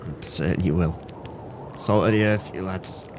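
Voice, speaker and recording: Man doing a weak dying drunk sailor, low and maudlin, audio drama, noisy background. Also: measured pace. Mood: weak, resigned. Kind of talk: audio play acting.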